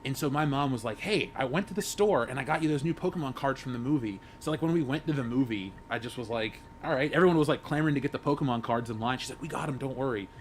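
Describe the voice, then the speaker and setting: A man's voice: quick pace, hardly any pauses. A man in his thirties chatting casually as a panelist. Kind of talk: casual chat. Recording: faint low rumbling background noise.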